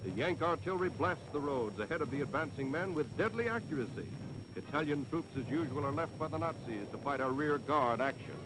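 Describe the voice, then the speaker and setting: A man's voice: on the high side, expressive, fairly quick, very noisy. An American man narrating a scripted 1940s wartime newsreel in a dramatic, declamatory, patriotic manner. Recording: vintage 1940s newsreel soundtrack with music.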